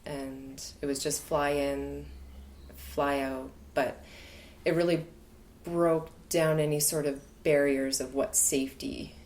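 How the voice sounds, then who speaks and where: A woman's voice: low-pitched, little pitch movement, slow pace, darkish tone. A woman in her thirties from the far north of Canada, a podcast guest talking frankly and loosely, often laughing. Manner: frank, rambling, laughs easily. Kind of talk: casual conversation.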